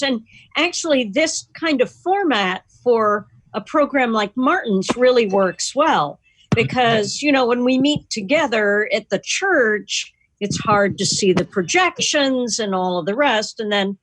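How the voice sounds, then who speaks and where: A woman's voice: on the high side, lively intonation, measured pace, fairly bright tone, dry recording, rough recording. A woman chatting casually on a video call as a member hosting a club meeting. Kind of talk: casual chat.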